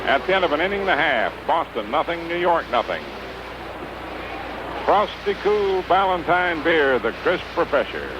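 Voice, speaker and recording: An American man calling baseball play-by-play for 1950s radio, in measured, clipped phrases with pauses between them. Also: fairly high pitch, very animated. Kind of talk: 1950s radio sports commentary. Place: ballpark broadcast booth. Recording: noisy, dull vintage 1950s radio broadcast.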